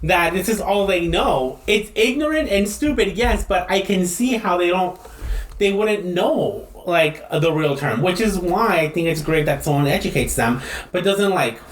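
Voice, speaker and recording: Animated man in an echoey room. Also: sits high, normal speed. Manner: animated, laughs often, acts out quotes. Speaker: podcast co-host. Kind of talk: casual chat.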